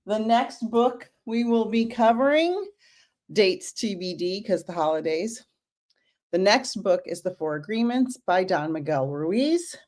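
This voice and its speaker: A middle-aged woman hosting a casual live-stream book club, her voice hoarse from teaching, greeting viewers by name as she talks. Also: medium pitch, lively intonation, a little slow, darkish tone.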